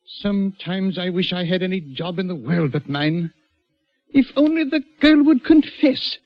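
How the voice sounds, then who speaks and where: A man's voice: sits high, expressive, measured pace, dry recording. A man acting a troubled, defensive prison governor in a radio drama, speaking emphatically and insistently. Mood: agitated, weary. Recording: dry, dull lo-fi radio recording.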